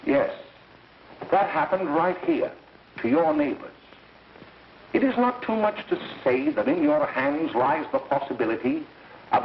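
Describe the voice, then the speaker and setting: A man's voice: on the high side, gravelly, exaggerated voice. A man acting an earnest lecturer in a 1930s film, delivering an emphatic, preachy cautionary speech. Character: earnest male lecturer urgently warning an audience. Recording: echoey, muffled, noisy 1930s film soundtrack.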